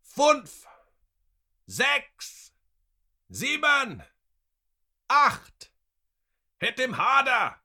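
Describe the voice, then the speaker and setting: Man playing a high, shouting brutal torturer. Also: wildly swooping pitch, very slow, long pauses, exaggerated voice. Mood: savage. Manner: shouting, barking. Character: brutal male torturer shouting out lash counts.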